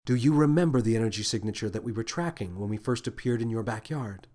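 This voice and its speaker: Man as a soft, soothing alien woman. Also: on the low side, close to an everyday voice. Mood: solemn. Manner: calm, measured, thoughtful. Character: gentle, soothing, wise female alien crew officer. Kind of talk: audio story character voice.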